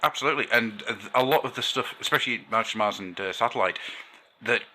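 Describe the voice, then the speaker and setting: A man's voice: mid-range voice, fast, sharp tone, a little hiss or hum. A man hosting a podcast, chatting casually and enthusiastically, rambling a little with fillers. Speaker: podcast host.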